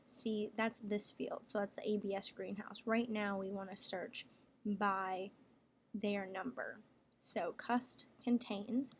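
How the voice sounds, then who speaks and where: A woman working as a software trainer, explaining each step plainly in a casual screen tutorial. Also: mid-range voice. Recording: clear background noise, room ambience.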